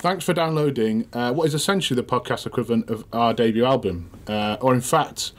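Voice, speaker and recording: A man's voice: mid-range voice, fairly quick. A man co-hosting a music podcast, chatting in a self-deprecating way with many fillers. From Manchester, England. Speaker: podcast co-host, former music journalist. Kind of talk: casual podcast chat.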